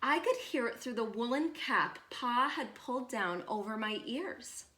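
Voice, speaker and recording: Female storyteller for children's read-aloud: unhurried, expressive, fairly high voice, echoing room. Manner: measured, expressive storytelling with deliberate pauses.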